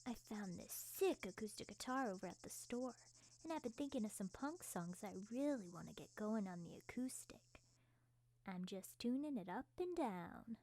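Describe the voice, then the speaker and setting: A woman's voice: medium pitch, expressive, normal speed, darkish tone. A woman playing a quirky, playful young punk musician, slangy and teasing, in an ASMR roleplay.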